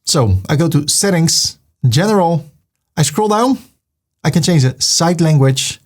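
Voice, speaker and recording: A man from the Netherlands, calmly narrating a step-by-step software tutorial and describing each click as he makes it. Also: fairly high pitch, unhurried. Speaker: web design tutor. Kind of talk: screen-recorded software tutorial.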